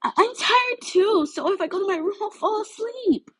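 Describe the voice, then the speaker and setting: Woman putting on a high, whining, excitable teenage girl. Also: normal speed. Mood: whiny pleading. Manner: squealing, whining, pleading. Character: excitable, pleading teenage superhero schoolgirl, bubbly and whiny.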